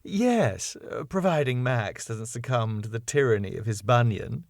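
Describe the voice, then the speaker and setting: A man's voice: mid-range voice, lively intonation, measured pace, vocal fry, no room sound, clean studio sound. A man voicing a prim, tart middle-aged woman.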